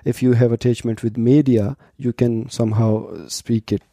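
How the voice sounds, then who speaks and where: Man, 30s, from Pakistan, native Pashto speaker, very dark voice, dry close sound, hesitant explaining. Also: medium pitch, steady tone, normal speed, no echo. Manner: explanatory, hesitant with fillers and restarts. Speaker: interview guest, doctoral student. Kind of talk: conversational interview answers.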